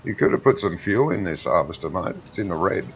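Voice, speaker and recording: A man's voice: low-pitched, animated, a little fast, creaky, slight background noise, low-quality recording. A man chatting casually during multiplayer gameplay, making dry, teasing remarks to his co-player. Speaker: co-player on the stream.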